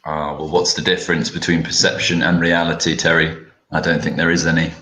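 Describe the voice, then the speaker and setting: A man from the south of England with a British accent, co-teaching an online English class and trading wry, deadpan jokes over a video call. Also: rumbling low, brisk, roomy sound. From Hastings, South England. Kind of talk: live online lesson banter.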